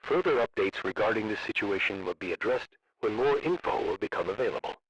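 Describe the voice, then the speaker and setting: Casting: man voicing a grave, impersonal alert-system announcer, lowish pitch, formal and measured, heard muffled and far from the mic. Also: animated, lightly acted. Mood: grave, official. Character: solemn official male emergency alert system announcer. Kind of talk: formal scripted emergency broadcast. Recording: muffled, noisy distant radio broadcast.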